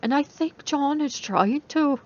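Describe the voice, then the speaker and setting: A woman's voice: high-pitched, measured pace, darkish tone, pitched above the performer's own voice. A woman puts on an upbeat young woman student for a storybook reading, her voice lifted well above an everyday register. She sounds caring and encouraging, with exclamatory cheer, on a slightly dull recording. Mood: gentle, reassuring. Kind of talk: dramatic audiobook reading.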